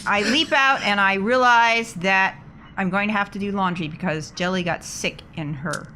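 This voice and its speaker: A woman's voice: medium pitch, normal speed, noisy background. A woman speaking casually as a player in a tabletop roleplaying game.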